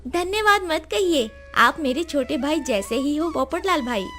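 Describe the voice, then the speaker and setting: A woman's voice: sits high, lively intonation, unhurried, a bit bright, faint music behind. A woman voicing a generous, caring married neighbour with a kind, reassuring manner in a moral story. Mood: warm.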